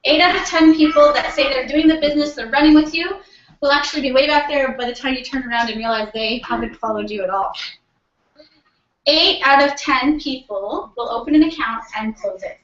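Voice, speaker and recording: A woman's voice: sits high, a little fast. A woman from Canada giving an informal, motivational business presentation to a group, enthusiastic and conversational, often putting questions to the audience. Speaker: presenter at a company team meeting. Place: room in a house, live audience. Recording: roomy, distant, dull, noisy live-feed recording.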